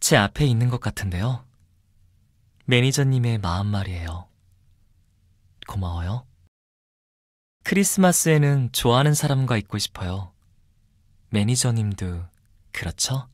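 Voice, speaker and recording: A man voicing a polite, fastidious young man in a mobile game, softly and intimately devoted with a possessive streak. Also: mid-range voice, animated, dry recording, clean studio sound. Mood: gentle, affectionate. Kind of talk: mobile game character voice lines.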